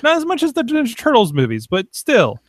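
A man co-hosting a podcast in casual chat, halting and repeating phrases for emphasis. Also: very high voice, huge pitch swings, no room sound, clean studio sound. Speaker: podcast co-host.

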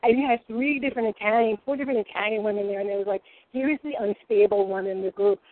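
A woman's voice: medium pitch, fast, background noise. A woman in her forties with a Rhode Island accent, a guest cook chatting in a boisterous, rambling way. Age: 40s. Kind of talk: casual chat. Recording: tinny phone-like sound.

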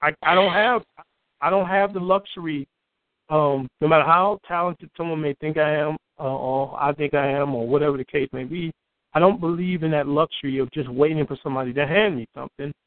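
An outspoken man from Chicago with a booming voice, sitting somewhat high in the male range. He tells his story as an interview guest, piling up repeated words when he gets going. The sound is dry and tinny, like it's coming down a phone line. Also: steady tone, brisk, dry recording.

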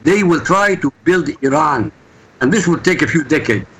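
A man's voice: on the high side, expressive, normal speed, fairly bright tone, a little hiss or hum, poor audio. A man from Iraq, a guest answering interview questions in an emphatic, insistent way. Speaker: guest commentator.

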